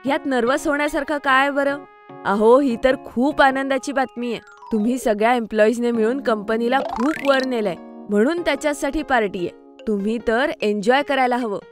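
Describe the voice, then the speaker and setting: A woman's voice: sits high, normal speed, lightly acted. Woman as a kind cartoon mom, soothing n encouraging, music in the back. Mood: reassuring. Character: warm, reassuring adult mother of two. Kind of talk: children's cartoon voice acting. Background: background music.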